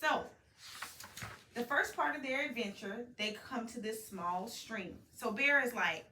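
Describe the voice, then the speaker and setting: A woman telling a story to a young child as a mother, animated, prompting with questions and repeating the answers. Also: on the high side, measured pace. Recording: roomy, distant home recording with faint noise.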